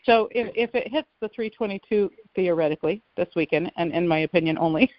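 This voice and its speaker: A woman with a fairly low voice speaks as a caller, explaining things casually and earnestly, often qualifying what she says. The phone conference audio is dull and dry. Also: fairly quick, dry recording. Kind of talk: casual explanatory call-in talk. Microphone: telephone conference line.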